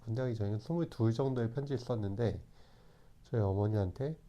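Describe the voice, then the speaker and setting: A man in his forties talking in a calm, reflective way, often pausing and restarting his sentences. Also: mid-range voice, dull audio. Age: 40s. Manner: calm, hesitant, with many restarts. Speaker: channel host. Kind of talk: reflective talk to camera.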